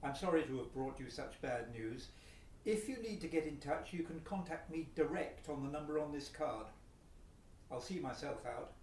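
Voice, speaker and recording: A British actor playing a polite, sober policeman with a formal, measured delivery in a radio play. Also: on the high side, animated, darkish tone, lightly acted. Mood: formal, helpful. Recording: echoey, distant, noisy room.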